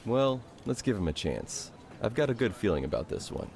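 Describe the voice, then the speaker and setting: Wry easygoing observer in a game, really low voice, bit of noise behind. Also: male voice, sing-song, moderate pace, vocal fry, darkish tone. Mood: hopeful. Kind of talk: game cutscene dialogue.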